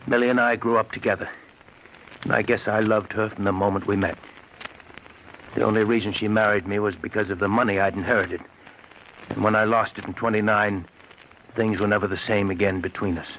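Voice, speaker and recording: Muffled, hissy radio play: male actor as worn-out bitter ex-con, low voice, brooding and insistent. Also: steady tone, moderate pace, long pauses, natural voice. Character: embittered, prematurely aged ex-convict, big and broken. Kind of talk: 1940s radio drama acting. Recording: muffled noisy old radio recording.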